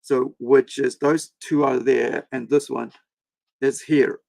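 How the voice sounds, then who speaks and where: A man born in India, with Hindi as his first language, chats on a live stream from his home setup in a rambling, unscripted way. His voice is gravelly at a middle pitch, and the sound is dry and close. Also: fairly even intonation, takes it slowly, darkish tone, no room sound. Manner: rambling, talks to chat, many fillers and restarts. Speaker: comic creator and streamer. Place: home room with several screens.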